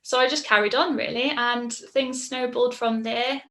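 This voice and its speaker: A woman's voice: fairly high pitch, fairly even intonation, normal speed, fairly bright tone. A woman, telling her personal story in a casual, reflective way, with frequent ums. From Mid Wales. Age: 20s. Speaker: patient sharing her story. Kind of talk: personal testimonial.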